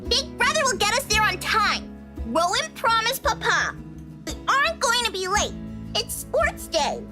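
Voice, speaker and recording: A woman's voice: very high-pitched, very animated, unhurried, over music, exaggerated voice. A woman playing a curious, blunt girl of six or seven in a visual novel, chirpy and matter-of-fact.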